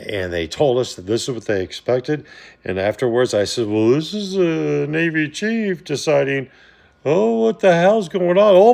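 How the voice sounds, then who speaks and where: A middle-aged or older man giving an impassioned personal monologue, speaking emphatically and haltingly, repeating himself for stress. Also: a little high, vocal fry, darkish tone. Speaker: former Navy air traffic controller speaking to viewers.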